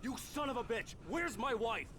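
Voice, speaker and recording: An actor playing a distraught writer in danger, speaking with urgent strain. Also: male voice, extremely high pitch, expressive, moderate pace, pushed and bright, a little hiss or hum, exaggerated voice. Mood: desperate, angry.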